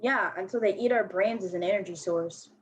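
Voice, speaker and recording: A woman's voice: medium pitch, a little fast, slightly rough, close to an everyday voice. A woman playing a blunt, slangy, defensive fourteen-year-old girl skateboarder in a staged play reading. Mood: sarcastic. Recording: dull lo-fi recording.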